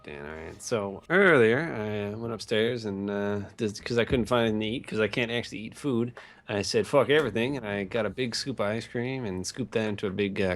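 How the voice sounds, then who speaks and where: A young man telling stories casually as a podcast co-host, rambling and swearing crudely for comedy. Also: lowish voice. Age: young adult.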